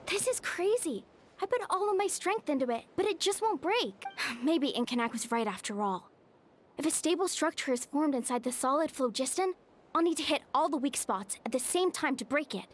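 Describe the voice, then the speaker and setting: A woman voicing an earnest, excitable young apprentice in a video game, speaking expressively. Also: sits high, moderate pace, a little hiss or hum. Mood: frustrated.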